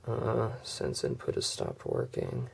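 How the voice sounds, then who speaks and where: A man with a cold, his voice going hoarse, casually walking through a phone's software and filling gaps with uhs and ums. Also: lowish voice, takes it slowly, slight background noise. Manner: relaxed, many "uh" and "um" fillers. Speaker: tech video creator. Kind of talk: casual screen demo walkthrough.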